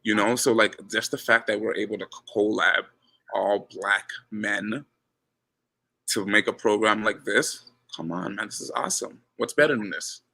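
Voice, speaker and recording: Gravelly-voiced man chatting in an interview. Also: on the low side, normal speed, low-quality recording. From Ghana. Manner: relaxed, repeats words, says he has a loud voice. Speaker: basketball coach, guest. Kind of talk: casual interview chat.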